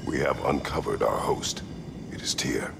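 An actor playing a stern, brooding, battle-worn warrior god in a video game, terse and gravelly. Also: male voice, a bass voice, moderate pace, in a whisper, heavily acted. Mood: brooding, guarded. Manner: terse, guarded. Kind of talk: dramatic video game acting.

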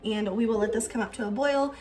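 A woman's voice: on the high side, fast, darkish tone, recorded from a distance. A young woman casually narrating a cook-along in her home kitchen, explaining each step with friendly fillers. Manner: chatty, explaining steps with fillers. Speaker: cooking vlogger.

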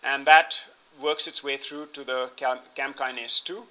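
A man's voice: mid-range voice, little pitch movement, unhurried, pushed and bright. A man in his fifties explaining slides in a scientific talk as a keynote speaker, often restarting his phrases. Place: indoor conference room, standing at a podium with laptop.

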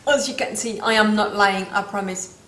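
A woman's voice: mid-range voice, moderate pace, a bit bright, echoey room. A woman in her thirties with a French accent, giving a casual makeup tutorial to camera, with playful asides and searches for English words. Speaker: makeup vlogger. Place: small room indoors, seated.

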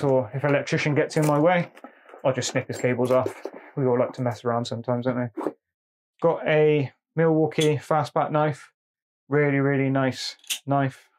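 A man's voice: mid-range voice, a little mellow, slight background noise. A man, a plumber casually walking through his tool bag and repeating "really, really nice" as he goes. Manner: chatty, repeats "really, really nice", calls tools "he". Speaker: plumber showing his tools. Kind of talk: casual show-and-tell. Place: indoor tabletop setup, speaker off camera.

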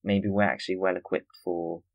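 A man with a low voice hosts a podcast conversation. He is from Austria and speaks earnestly, feeling his way through his thoughts, recorded close and dry. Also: level tone, a little fast, no room sound. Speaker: podcast host.

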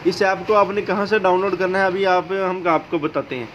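A man's voice: high voice, normal speed. A man narrating a how-to video as a tutorial host. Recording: dull lo-fi audio, steady background noise.

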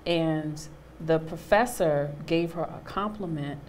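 A woman in her thirties with a low voice tells a story on a studio panel stage. She brings her tale to life by acting out the people she quotes. Her lapel mic catches a faint hum of the room. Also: slow pace, darkish tone. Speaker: panel guest, actor. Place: studio stage with a small audience.

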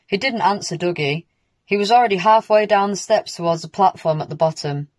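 A woman's voice: medium pitch, brisk, a little mellow, no room sound. A young woman reading a children's story aloud. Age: young adult. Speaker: story reader. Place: indoors in a home room.

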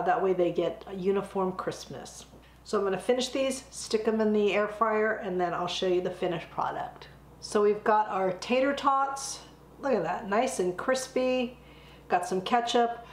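A middle-aged woman hosting a home cooking channel, a bit hoarse from a cold, explaining a recipe step by step in a friendly, chatty way. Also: medium pitch, roomy sound. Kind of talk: casual cooking demonstration. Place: home kitchen, indoors.

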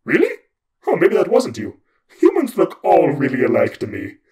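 A man voicing a huge, petulant, tick-like male Dark God who acts like an internet troll, loud, whiny and theatrical. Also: a little high, wildly swooping pitch, moderate pace, crowd noise. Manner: loud, whiny, theatrical, touchy about his hair. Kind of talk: storytelling with voiced characters.

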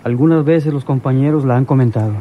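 A man's voice: medium pitch, fast, phone-quality sound, lightly acted. An actor playing a loyal, deferential henchman and driver in a radio drama. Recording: noisy old radio drama recording.

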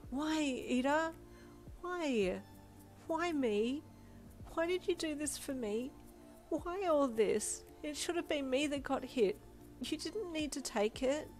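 A woman voicing a blunt, hungry young character who talks in slang and flat deadpan, quick to snap, in a storybook reading. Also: medium pitch, soft background music, pitched above the performer's own voice. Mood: distraught. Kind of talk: audiobook character voice.

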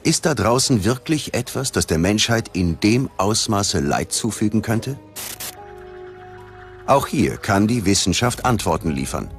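Low-voiced, ominous male documentary narrator. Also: animated. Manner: dramatic, ominous, measured delivery. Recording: music under the voice. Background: prominent background music.

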